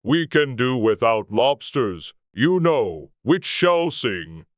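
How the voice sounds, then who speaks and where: A man's voice: medium pitch, lively intonation, no room sound, clean studio sound. A man voicing a mournful, sentimental mock turtle with a plaintive manner in a dramatized storybook reading. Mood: wistful. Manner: slow, plaintive. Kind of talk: dramatized audiobook character.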